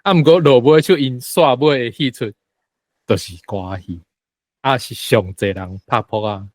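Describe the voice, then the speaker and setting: A man with a Taiwanese accent sharing personal impressions as a discussion guest, in a halting, informal, wordy way. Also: mid-range voice, dry recording.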